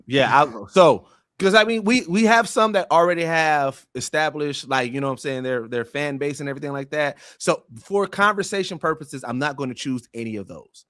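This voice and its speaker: A man's voice: a little high, normal speed, dry recording, studio quality. A man chatting casually and in slang as a podcast panelist. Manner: slangy, animated phrasing with frequent "bro" and "like".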